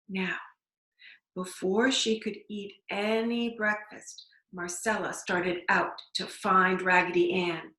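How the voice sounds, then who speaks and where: A woman reading a storybook aloud to young children, deliberately, with simple explanatory asides. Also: medium pitch, a bit bright. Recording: echoey room.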